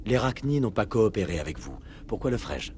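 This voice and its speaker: A man's voice: on the low side, very animated, brisk, noisy background. A man playing a terse, commanding military officer in a dubbed video game. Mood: guarded, firm. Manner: terse, businesslike. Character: steady commanding male military officer, interrogating. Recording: music behind the voice.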